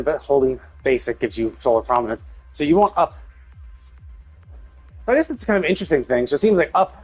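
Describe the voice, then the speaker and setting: A man's voice: on the high side, husky, rough recording. A man doing casual live-stream commentary on a game, rambling analytically as he thinks aloud.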